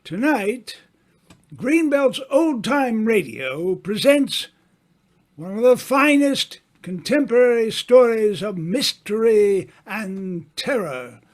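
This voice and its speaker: A man announces a suspense programme in old-time radio fashion. His high voice rises and falls dramatically, and he lingers grandly over his phrases. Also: very animated. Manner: grandiose, suspenseful, drawn-out delivery.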